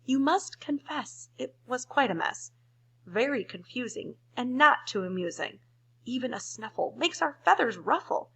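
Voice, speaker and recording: A woman's voice: fairly high pitch, lively intonation, a little slow, vocal fry, sharp tone, husky, full character voice, rough recording. A woman voicing a flustered storybook bird, squawky, urgent and sing-song as it pleads. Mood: flustered pleading. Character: shrill, flustered storybook parrot and birds.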